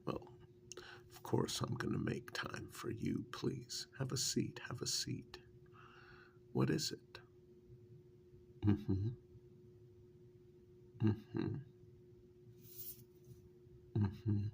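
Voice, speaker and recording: Very slow, creaky, soft-spoken acted ASMR man. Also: on the low side, slight background noise, full character voice. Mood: fond, wry. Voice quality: soft, breathy, gravelly, half-whispered. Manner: halting, unhurried, musing with many pauses. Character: easygoing, wryly fond long-term partner and former kidnap victim. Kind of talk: ASMR role-play, soft speaking.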